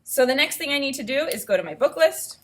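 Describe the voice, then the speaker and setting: A woman teacher reading aloud to children and thinking out loud, explaining things step by step in an instructive way. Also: high-pitched, animated, fast talker, background noise. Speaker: elementary school teacher.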